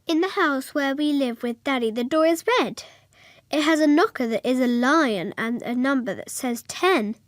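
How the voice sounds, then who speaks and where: A woman's voice: sits high, moderate pace, no room sound, lightly acted. An actress playing a young, imaginative schoolgirl narrating a radio drama in a naive, literal way. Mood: wistful. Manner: naive, literal, childlike phrasing.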